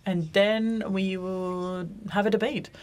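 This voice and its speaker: A woman's voice: mid-range voice, fairly even intonation, takes it slowly, a little mellow. A woman moderating a conference panel, speaking loosely and often correcting herself mid-sentence. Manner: loose, self-correcting, with frequent restarts. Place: conference room.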